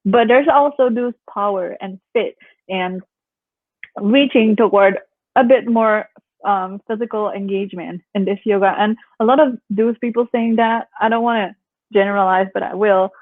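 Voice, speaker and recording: A woman's voice: medium pitch, moderate pace, dry recording. Young woman from Canada, phone-line sound. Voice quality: relaxing voice. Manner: chatty, laughs often, acts out what others say. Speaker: yoga instructor, guest. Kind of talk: casual interview chat. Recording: phone-like call audio.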